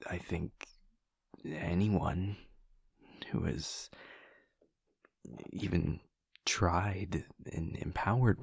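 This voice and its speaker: Man as a low, hushed, hesitant vampire lover. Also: no echo, studio quality, heavily acted. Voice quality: breathy, gravelly low voice. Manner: halting, hushed, stammering, often breathy near-whisper. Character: male vampire lover, gentle, hesitant, earnest and tender. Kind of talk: intimate audio roleplay addressed to the listener.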